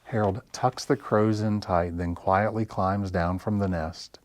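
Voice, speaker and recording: A man reading picture books aloud for children in an expressive, deliberate storytelling style. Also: low voice, no room sound, studio quality. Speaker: library story time reader. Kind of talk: animated picture-book narration for children.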